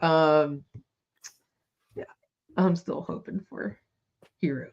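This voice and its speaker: A woman co-hosting a toy news show in casual chat, her voice hoarse and fading, giggly and enthusiastic. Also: sits low, slow pace, a little mellow, lo-fi sound.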